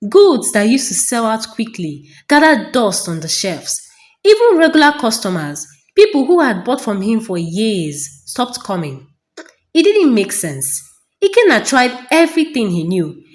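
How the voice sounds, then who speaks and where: A woman narrating a folktale as a storyteller, in a dramatic, deliberate and suspenseful scripted style with pauses. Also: a little high.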